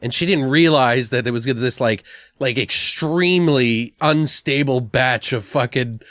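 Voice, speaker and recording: A man's voice: mid-range voice, moderate pace, a bit bright, no room sound, studio quality. A man hosting a podcast, casually brainstorming comic story ideas in a crude, jokey, sweary way. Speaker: podcast host. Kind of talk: casual comedic brainstorming chat.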